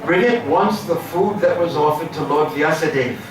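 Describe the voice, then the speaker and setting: A man giving a dramatic devotional scripture reading, reverent and deliberate with long pauses. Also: on the high side, a crowd in the background. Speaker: devotional reader and chant leader. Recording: echoey, distant, music behind.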